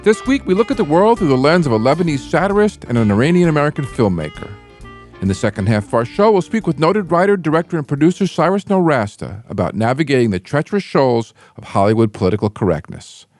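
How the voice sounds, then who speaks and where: A man hosting a radio show, giving a scripted introduction and asking measured, prepared questions. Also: fairly high pitch, very animated, darkish tone, faint music behind.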